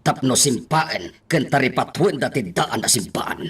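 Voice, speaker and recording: Domineering boss with swooping delivery. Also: male voice, medium pitch, lively intonation, measured pace, husky. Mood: stern, probing. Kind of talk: radio drama acting.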